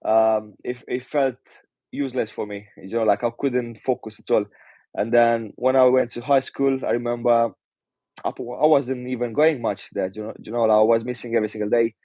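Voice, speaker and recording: A man's voice: mid-range voice, flat delivery, fairly quick, dry recording. A Romanian-born man in his twenties, a pro boxer, answering casually with frequent hesitations and "do you know". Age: 20s. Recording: dull muffled audio.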